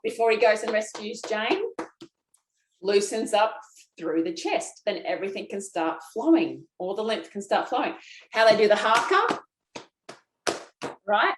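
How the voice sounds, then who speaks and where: A woman's voice: medium pitch, lively intonation, measured pace, pauses often, roomy sound, noisy background. A woman teaching a guided self-massage class, chatty and folksy, talking straight to her viewers. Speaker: lymphatic drainage instructor.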